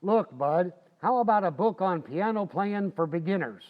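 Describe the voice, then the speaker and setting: A man's voice: high voice, expressive, normal speed, lo-fi sound. A man acting a boastful, folksy husband in an old-time radio comedy. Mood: cocky, cheerful. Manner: folksy, slangy, bragging. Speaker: amateur actor in a community playhouse radio recreation.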